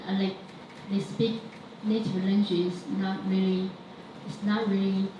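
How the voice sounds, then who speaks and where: Woman, from Taiwan, non-native English, halting, mellow, distant echoey mic. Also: medium pitch, flat delivery, a little hiss or hum. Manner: halting, searching for English words. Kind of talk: panel discussion answer. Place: event hall. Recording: echoey hall, distant mic, dull sound.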